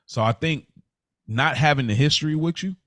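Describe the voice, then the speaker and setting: A man's voice: fairly high pitch, moderate pace, fairly bright tone, dry recording, clean studio sound. A man speaking as a podcast co-host in casual, reflective conversation, earnest and conversational in his delivery.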